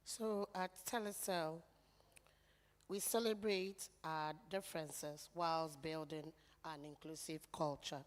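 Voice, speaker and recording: A woman giving a formal speech at an event, in a low, soft voice, earnest and declarative. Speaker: corporate guest speaker. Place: large event hall. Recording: reverberant hall, long echo.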